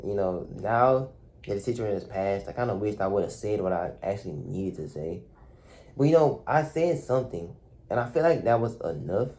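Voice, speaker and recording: A young man, talking softly and hesitantly to the camera in a dark room, with many fillers and restarts. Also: low-pitched. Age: young adult. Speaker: vlogger. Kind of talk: reflective talk to camera.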